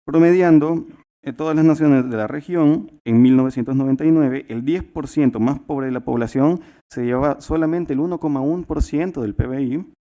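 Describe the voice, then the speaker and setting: A man's voice: on the high side, normal speed, mellow, highs cut off. A man in his twenties from El Salvador giving a formal talk at a youth summit, earnest, with frequent fillers and restarts. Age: 20s.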